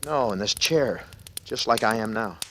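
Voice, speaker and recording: Low-voiced haunted man, old radio drama. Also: expressive, moderate pace, pushed and bright. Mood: haunted, grieving. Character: brooding guilty man, haunted and slyly unhinged. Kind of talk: 1940s radio drama acting. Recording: noisy old radio recording.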